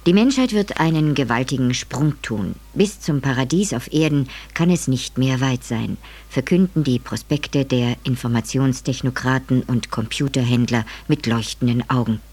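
A man reading a scripted radio introduction as announcer, in a measured, formal way. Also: medium pitch, a little mellow.

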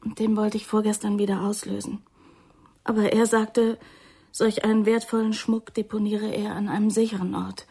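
An actress playing a defensive, prim young woman in a radio crime drama, speaking in a breathy, dramatic, indignant manner. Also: medium pitch, level tone, normal speed, dark tone. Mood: agitated, pleading. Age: young adult.

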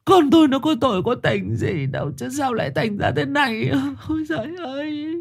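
A man voicing a middle-aged mother, crying and wailing in a high falsetto. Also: sing-song, brisk, exaggerated voice.

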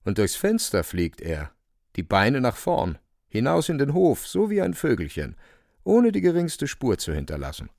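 A man's voice: mid-range voice, huge pitch swings, moderate pace, gravelly, a little mellow, no room sound, studio quality. A man narrating a literary radio play with vivid, suspenseful storytelling.

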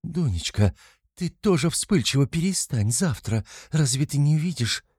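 A man's voice: on the low side, moderate pace, mellow, breathy edge, no room sound, clean studio sound. A man voicing a timid, anxious middle-aged mother in an audiobook, tearful and easily frightened, pleading and fretful.

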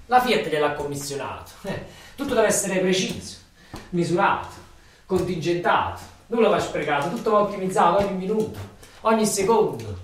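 A man with a Neapolitan accent delivers a theatrical monologue in a colloquial, emphatic, repetitive storytelling manner. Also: high-pitched. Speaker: stage monologue actor. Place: roomy interior, far from microphone. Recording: distant echoey room, faint noise.

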